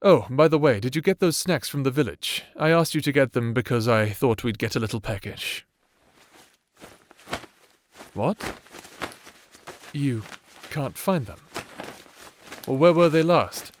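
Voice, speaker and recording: Slow-talking, doting evil husband acted by a man. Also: mid-range voice, expressive, slight background noise, lightly acted. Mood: concerned, soothing. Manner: soft, soothing, flirtatious, affectionate endearments. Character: doting, teasing, self-described evil necromancer husband. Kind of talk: intimate ASMR roleplay acting.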